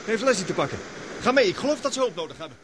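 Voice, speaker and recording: An actor playing an anxious, observant expedition member in a radio drama. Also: male voice, sits high, big pitch swings, very fast. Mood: alarmed. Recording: noisy old radio play recording.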